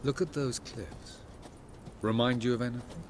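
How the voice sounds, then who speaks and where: A man's voice: mid-range voice, normal speed, very noisy, close to an everyday voice. An actor playing an aloof, ancient male elf sage who speaks in formal, condescending sentences in a video game. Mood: lofty.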